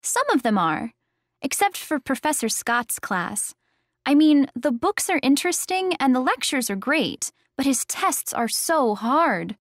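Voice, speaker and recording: A candid young female student chats in scripted course dialogue. Her voice is high and very animated. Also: unhurried, dry recording, clean studio sound.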